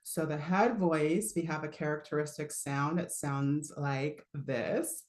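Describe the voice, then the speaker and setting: A woman with a low voice teaches a live online singing lesson in a relaxed, chatty way. She laughs often and stops to demonstrate sounds. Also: takes it slowly, echoey room.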